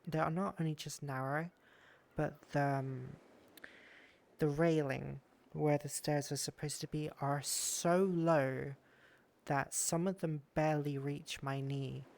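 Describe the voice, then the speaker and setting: A man's voice: fairly high pitch, steady tone, slow, vocal fry, a little mellow. A man streaming a casual game session, rambling and thanking viewers, sounding stuffy from a cold. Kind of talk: casual streaming chat while exploring a game world.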